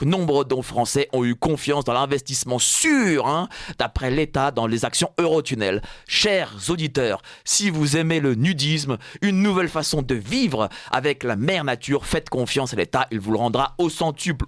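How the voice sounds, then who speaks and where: A man with a French accent delivers a mock-solemn, sarcastic radio commentary in a fairly high voice. He often laughs at his own jokes. The studio sound is close and dry, with no room echo. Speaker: radio columnist.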